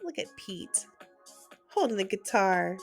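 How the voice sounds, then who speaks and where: A woman gently reading a picture book aloud at bedtime as the host of a read-aloud channel, talking to small children in an expressive way. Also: medium pitch, slow, faint music behind.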